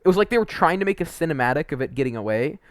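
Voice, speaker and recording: A man's voice: fairly high pitch, animated, racing, no echo, studio quality. Casual young man rambling through game opinions. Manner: informal, slangy, rambling. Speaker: video game reviewer.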